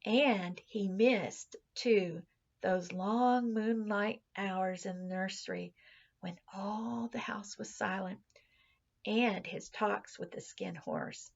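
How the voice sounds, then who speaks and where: Creaky-voiced woman reading a storybook. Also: mid-range voice, takes it slowly, muffled recording. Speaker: teacher reading a children's story aloud. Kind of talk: expressive storybook read-aloud narration.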